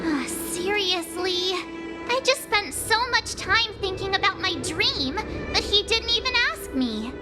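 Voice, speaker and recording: A needy, bubbly little girl for a video game. Her voice is squeaky-high and bounces around as she whines and pouts, over loud music. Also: lively intonation, unhurried. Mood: pouty. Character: bubbly, needy young girl, pouty and eager. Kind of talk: animated video game voice acting.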